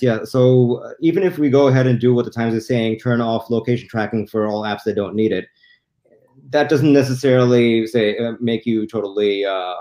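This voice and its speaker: A man's voice: mid-range voice, darkish tone. A man giving a casual explanation as a guest on a video call, often rephrasing himself. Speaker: guest tech commentator.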